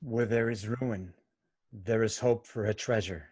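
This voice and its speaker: An actor playing a proud, wounded male fairy, centuries old, with a husky voice, in a staged play reading. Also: fairly low pitch, unhurried, darkish tone. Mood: yearning. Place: remote staged reading over video call.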